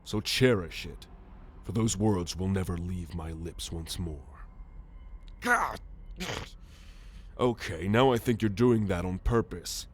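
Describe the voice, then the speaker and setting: Man voicing a low, haughty alien warrior. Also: slightly rough, heavily acted. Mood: exasperated, sardonic. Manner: haughty, sardonic, measured with exasperated sighs. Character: proud, gruff alien warrior, a renegade military fugitive. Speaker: ASMR roleplay voice actor. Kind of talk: ASMR roleplay monologue to the listener.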